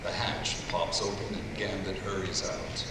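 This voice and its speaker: A man's voice: sits low, level tone, unhurried. A man, a voice actor, narrating stage directions at a live script read. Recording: echoey noisy hall, far from mic.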